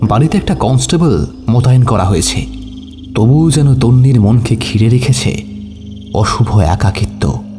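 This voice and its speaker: Male audio-story narrator, medium pitch, dramatic and suspenseful, music bed behind.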